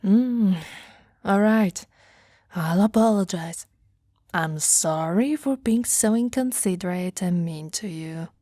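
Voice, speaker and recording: A woman's voice: medium pitch, slow, mellow, dry recording, studio quality. A woman playing a grumpy, disdainful giantess with a blunt, grudging manner in an ASMR roleplay. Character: grumpy, disdainful giantess secretly softening toward tiny human pet.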